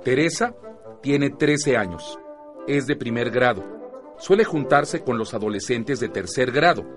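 A man with a Mexican accent playing a patient teacher who narrates a radio drama in a measured, didactic way. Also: mid-range voice, expressive, soft background music, natural voice. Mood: explanatory. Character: patient male teacher narrating his teenage students' stories.

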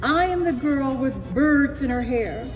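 A woman voicing an excitable young girl telling her adventure in a dramatic, theatrical storybook read-aloud. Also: sits high, a little slow. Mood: proud, happy. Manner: theatrical, expressive, emphatic repetition. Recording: echoey hall, music behind.

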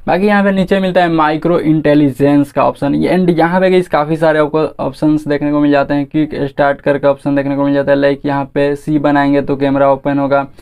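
A young Indian man with a clear, smooth voice that sits slightly high and stays fairly level. He talks casually to viewers as a tech host walking through features, dropping in English words. The tone is soft-edged and mellow. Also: little pitch movement, a little fast, hardly any pauses. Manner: informal, addresses viewers directly, mixes in English words. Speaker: tech reviewer and channel host. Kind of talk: casual phone feature walkthrough.

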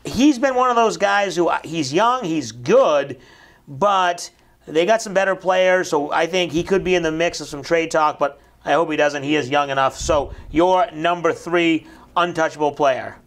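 A man in his thirties hosting a casual local sports talk show in a small studio, upbeat and quick with questions, on a lapel mic. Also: on the high side, fairly bright tone. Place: small brick-walled TV studio set.

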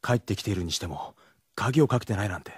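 An actor playing a tough, defiant teenage gang leader in a Japanese radio drama, with rough, slangy speech. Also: male voice, on the low side, lively intonation, fast, natural voice. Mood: tense, quiet.

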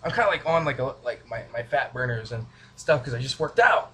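A man's voice: medium pitch, lively intonation, brisk, sharp tone. Young male home cook, goofy and chatty, talking to a camera across a small kitchen with faint background noise. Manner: goofy, rambling, talks to camera. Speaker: home cooking vlogger. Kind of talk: casual cooking demo, joking asides. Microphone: camera mic from a few feet away.

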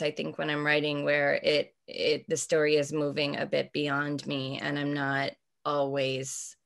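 Low gravelly woman on a video call. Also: a bit bright. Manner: thoughtful, searching with many fillers and restarts. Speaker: author guest. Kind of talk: conversational interview answers. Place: home room with bookshelves. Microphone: video call webcam.

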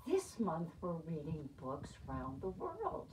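An older woman, in her sixties, reading aloud to young children as their teacher, with deliberate, expressive, simple phrasing. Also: deep voice. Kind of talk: children's read-aloud storytelling. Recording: noisy amateur room recording with clicks.

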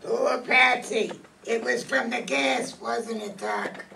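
Woman acting as pint-sized radio mobster, slangy, wheedling, gravelly, high-pitched, recorded from across the room. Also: bright, forceful tone, slight background noise, heavily acted. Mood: feigned sorrow, evasive. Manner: slangy, drawn-out tough-guy talk. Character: short male small-time gangster, five-foot-three, cornered and wheedling. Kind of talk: radio mystery acting before a live audience. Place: meeting room stage, camera among audience. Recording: distant mic in an audience room.

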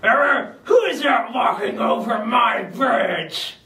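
A man voicing a grumpy, hungry male troll who guards a bridge, in a gruff, drawn-out manner. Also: very high voice, animated, pushed and bright, shouting, a little hiss or hum, exaggerated voice. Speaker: puppeteer. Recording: echoey room, mic far off.

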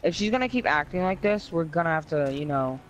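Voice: medium pitch, lively intonation, fairly quick. A player casually roleplaying a bossy crew leader in an online crime game. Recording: faintly noisy game audio with vehicles. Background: faint game vehicle noise.

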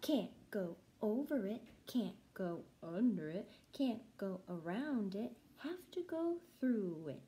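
A young woman, a music teacher, reading a children's picture book aloud in a rhythmic, sing-song, deliberate chant. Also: medium pitch, very animated, mellow. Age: young adult. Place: small room at home, indoors.

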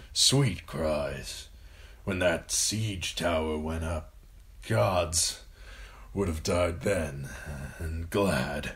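A man voicing a frail, dying old lord and father in an audiobook, tender and pained, murmuring haltingly in a thin, wispy voice. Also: low-pitched. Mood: weary, wistful. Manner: slow, halting, murmuring speech.

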